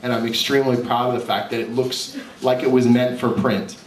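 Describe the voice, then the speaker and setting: A man giving an informal talk as an author and comic artist, candid, animated and self-deprecating. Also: medium pitch, a little fast. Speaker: author and comic artist presenting his work. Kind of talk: informal live talk with slides. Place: indoor cafe event space. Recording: roomy, distant mic, faint background noise.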